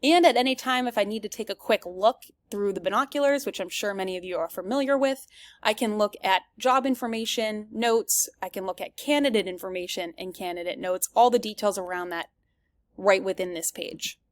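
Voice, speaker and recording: A woman presents a product walkthrough in a medium-pitched voice. She speaks directly to viewers and explains things step by step, in the manner of a semi-scripted demo. The recording is dry, with very little room sound. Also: a little fast, no room sound. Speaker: presenter giving a software demo.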